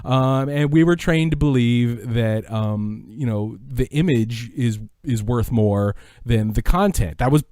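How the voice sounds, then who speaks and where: A man's voice: medium pitch, unhurried, few pauses, mellow, no echo. Middle aged american guy as a podcast guest, earnest, talks with emphasis, really dry close sound. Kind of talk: reflective, enthusiastic interview talk.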